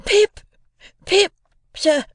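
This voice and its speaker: A man's voice: very slow, a bit bright, no echo, clean studio sound. A man voicing a small, frightened orphan boy in a storybook, timid, polite and pleading. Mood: terrified.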